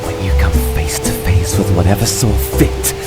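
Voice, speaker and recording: A man's voice: sits low, moderate pace, over loud music, natural voice. An actor playing a bitter, tormented cartoon man in a horror game, with a theatrical, volatile, foul-mouthed delivery. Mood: menacing, bitter. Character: tormented cartoon man, bitter, taunting, vengeful, then desperate. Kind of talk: dramatic visual novel game voice acting.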